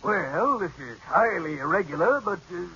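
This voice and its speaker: A stern, suspicious bank examiner. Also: male voice, high voice, sing-song, normal speed, sharp tone, full character voice. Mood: interrogating. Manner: stern, interrogating, pompous. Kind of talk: 1940s radio sitcom acting. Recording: faintly hissy dull old radio broadcast.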